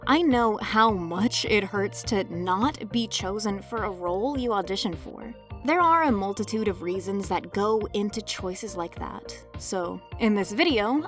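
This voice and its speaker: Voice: mid-range voice, a bit bright. A woman narrating a scripted tutorial as host, with a playful, cheeky manner and joking asides. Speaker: host, indie voice actor giving tutorial. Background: background music.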